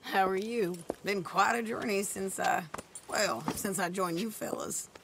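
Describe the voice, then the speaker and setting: Plainspoken outlaw widow. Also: female voice, mid-range voice, measured pace, creaky, noisy background. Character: tough young widow, eager outlaw woman.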